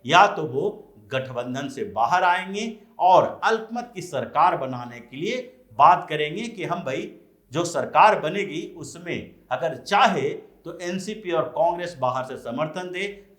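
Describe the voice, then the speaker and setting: Male news commentator, higher-pitched and very animated, explaining politics with emphasis; roomy, echoing sound. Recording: echoey room sound.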